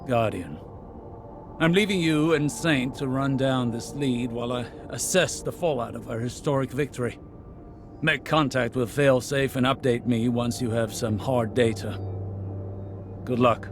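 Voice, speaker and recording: A man's voice: medium pitch, a little slow, natural voice. A man voicing a serious, commanding sci-fi mentor giving a formal video game mission briefing. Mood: grave, purposeful. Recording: music behind the voice.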